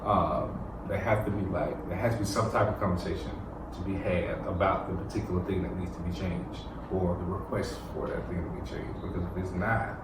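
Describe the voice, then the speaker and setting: A man hosting a relaxed roundtable about relationships, animated and full of fillers, recorded from far off in an echoey room. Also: low voice, brisk, few pauses, heavy background noise. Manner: animated, talks through laughs, many fillers. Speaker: host of a couples roundtable. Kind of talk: casual group discussion. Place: indoor room with a group around a table.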